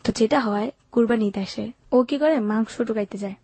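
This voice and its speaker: A woman's voice: a little high, moderate pace, mellow, breathy edge, no room sound. A young woman with a Bangladeshi accent tells her life story candidly and colloquially, as an interview guest, often quoting what people said. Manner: colloquial, recounts events with quoted dialogue. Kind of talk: candid personal storytelling in conversation.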